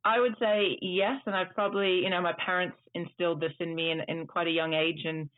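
Native dutch guest in their 30s rambling on a call, flat voice, sounds like a phone. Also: mid-range voice, a little fast, no echo. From Netherlands and United States. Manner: chatty, long rambling answers. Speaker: guest, tour trip manager. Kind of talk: casual interview storytelling. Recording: tinny phone-like line, dry.

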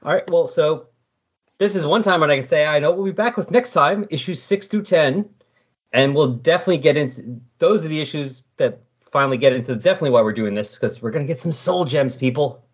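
A man's voice: on the high side, a little fast, slightly rough, highs cut off. A man hosting a podcast in a casual, conversational style. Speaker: podcast host. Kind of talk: casual chat about comic books.

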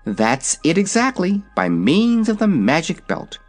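A man's voice: a little high, wildly swooping pitch, a little slow, faint music behind, pitched above the performer's own voice. A man voicing a cheerful, confident young girl in a fairy-tale story. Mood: reassuring. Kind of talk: storybook character voice.

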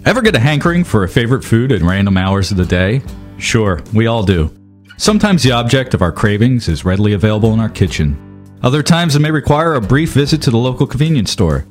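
A man's voice: mid-range voice, very animated, quick pace, faint music behind. A man reading scripted comic narration as a podcast narrator.

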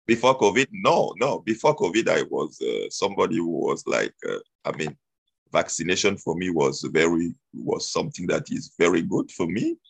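Deep-voiced man, dry sound. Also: expressive, normal speed, vocal fry, husky, no room sound. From Cameroon. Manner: hesitant, many uh fillers and restarts. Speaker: guest. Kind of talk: interview storytelling.

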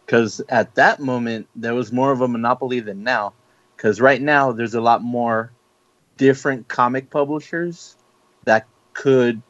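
A man's voice: medium pitch, no echo. A man in a casual group chat who argues bluntly and swears casually. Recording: dry, dull lo-fi recording.

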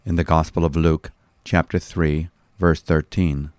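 Deep-voiced male pastor reading Bible commentary. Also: flat delivery, a little mellow, dry recording, studio quality. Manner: measured, expository teaching delivery. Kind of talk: scripted devotional Bible commentary, read aloud.

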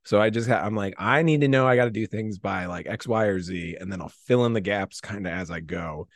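A man's voice: fairly low pitch, fairly quick, dry recording. A man chatting casually as a podcast guest, rambling and self-deprecating, with lots of "like" in his talk. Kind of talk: casual chat, anecdotes.

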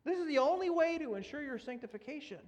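A man's voice: extremely high pitch, animated, fast talker. A man preaching a sermon, earnest and emphatic as he works through scripture readings. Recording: long reverb of a large hall.

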